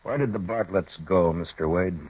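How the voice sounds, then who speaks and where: An actor playing a steady, brooding frontier marshal, calm and measured, in a 1950s radio drama. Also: male voice, deep voice, slightly rough, dry recording. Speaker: town marshal. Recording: dull old radio broadcast.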